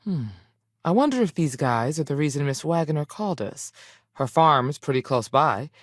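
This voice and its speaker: No-nonsense female space ranger, lively intonation, firm, plainspoken, video game acting, close dry recording. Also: low-pitched, normal speed, dry recording. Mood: thoughtful.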